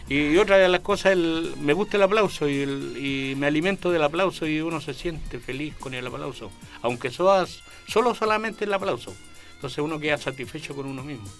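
An older Chilean man from a small coastal town, talking about his amateur theatre work in a halting, rambling way, with many restarts. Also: fairly high pitch, faint music behind. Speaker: guest, local amateur actor. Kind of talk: casual interview chat.

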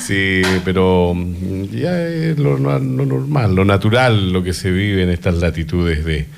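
A man's voice: sits low, lively intonation, takes it slowly, vocal fry, noisy background. A man hosting a radio show, chatting casually and joking with his guests. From Chile. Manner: chatty, jokey, rambling with restarts. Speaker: radio host.

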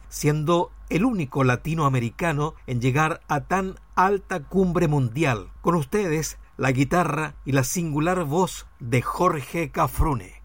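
A man's voice: fairly high pitch, dry recording. A man hosting a radio music program, introducing an artist formally and respectfully. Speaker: radio host. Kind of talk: scripted radio presenting.

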